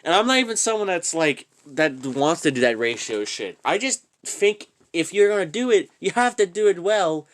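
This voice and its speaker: A man's voice: high-pitched, lively intonation, normal speed, few pauses. A young man ranting casually to camera, rambling, swearing and trailing off into mumbles. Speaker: channel host. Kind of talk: casual reaction rant.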